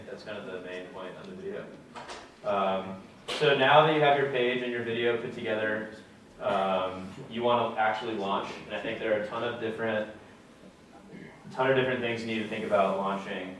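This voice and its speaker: A man's voice: lowish voice, far from the mic, slight background noise. Young man speaking in an echoey lecture room. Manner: relaxed, plain-spoken, many fillers. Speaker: guest speaker. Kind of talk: casual talk to a student audience. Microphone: far camera.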